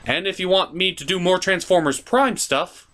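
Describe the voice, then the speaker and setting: A man's voice: high-pitched, normal speed, fairly bright tone. A man hosting a video review, giving enthusiastic, theatrical commentary with emphatic, stretched-out words.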